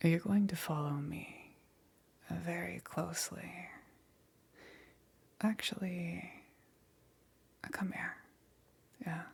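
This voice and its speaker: A menacing demon queen, played by a woman for ASMR roleplay, speaking in a very breathy, whispered voice with a gravelly creak. She takes her time with every phrase, extremely slow and coaxing, teasing and commanding at once. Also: fairly low pitch, a little mellow, exaggerated voice.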